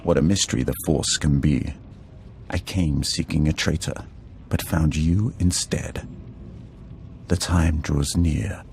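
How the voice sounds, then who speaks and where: An actor playing an emperor's coldly patient executioner in a video game, measured and cryptic in his menace. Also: extremely low pitch, vocal fry, darkish tone, slight background noise, full character voice. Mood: coldly intrigued. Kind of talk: video game villain acting. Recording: game music under the voice.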